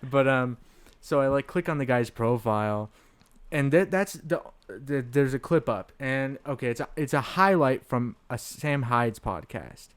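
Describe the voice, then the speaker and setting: Young male podcast host, mid-pitched, loose and giggly, storytelling with lots of restarts. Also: lively intonation, a little slow, smooth. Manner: laughs often, rambling with restarts. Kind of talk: casual comedic chat.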